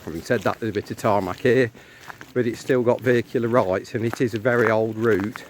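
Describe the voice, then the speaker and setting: A man's voice: on the low side, fairly even intonation, brisk. A man with an English accent co-hosting a walking vlog, chatting and explaining the countryside like a local guide. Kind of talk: casual chat with local guide commentary. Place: outdoors on a country lane. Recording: outdoor phone recording with clear background noise.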